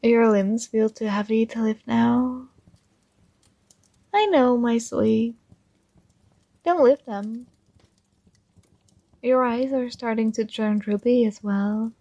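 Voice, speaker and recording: A woman playing a possessive but tender dream princess in an ASMR roleplay, coaxing and gently commanding, close to the microphone. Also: fairly high pitch, fairly even intonation, slow, pauses often, dry recording. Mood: soothing, coaxing. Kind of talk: ASMR roleplay, soothing intimate monologue.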